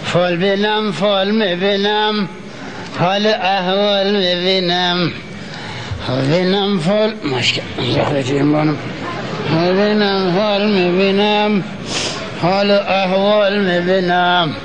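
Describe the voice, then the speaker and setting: An actor with a Kabul Afghan accent playing a bossy, greedy trickster fortune teller in a stage comedy, emphatic and repetitive. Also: male voice, sits high, fairly even intonation, crowd noise, lightly acted, low-quality recording. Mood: chanting pitch. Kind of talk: broad comedy stage play acting. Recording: old stage recording with loud audience.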